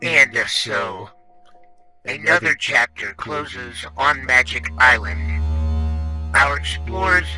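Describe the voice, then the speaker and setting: A man's voice: medium pitch, animated, slow, sharp tone, soft background music. A man announcing a 1930s radio serial from a script, with dramatic, declamatory delivery.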